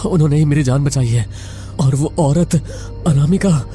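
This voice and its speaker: Man playing a higher-voiced, shaken haunting survivor. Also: faint music behind, close to an everyday voice. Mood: dazed. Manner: halting, trembling, repeats words.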